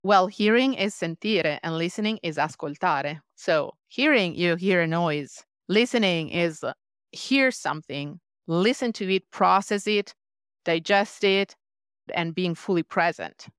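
A woman's voice: fairly low pitch, lively intonation, measured pace, smooth, no echo, clean studio sound. A woman with an Italian accent, a leadership coach, speaking thoughtfully as a guest in a podcast interview. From Italy. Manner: thoughtful, reflective, earnest.

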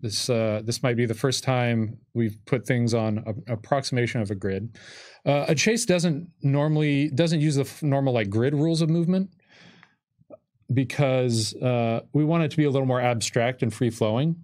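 Guy in his 30s, normal mid pitch, talking thru board game rules, kinda halting and loose. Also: darkish tone. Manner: hesitant, loosely phrased. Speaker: game designer and co-host. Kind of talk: casual tabletop game rules explanation. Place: indoor studio with curtain backdrop. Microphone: microphone on desk stand.

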